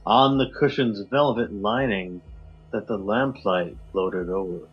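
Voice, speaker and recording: A man guesting on a podcast, reading a poem in a measured, low dramatic delivery over a video call. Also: husky, dull audio, faint music behind.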